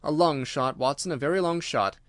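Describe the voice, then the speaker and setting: A man acting out a Victorian gentleman detective from a storybook, brisk and sure of himself. He speaks with formal courtesy and a secretive playfulness, in a fairly high male voice, closely recorded with no room sound. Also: no echo, clean studio sound, close to an everyday voice. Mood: pleased, cryptic. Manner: courteous, assured, formally worded.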